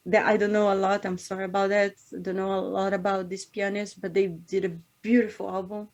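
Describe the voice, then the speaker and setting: A woman from Brazil speaks, at a middle pitch. She reflects aloud in an interview, trailing off and restarting, often breaking into small laughs. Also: little pitch movement, fairly quick, a little mellow, muffled recording. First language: Portuguese. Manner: thoughtful, searching for words with frequent restarts and laughs. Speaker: singer. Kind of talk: interview conversation.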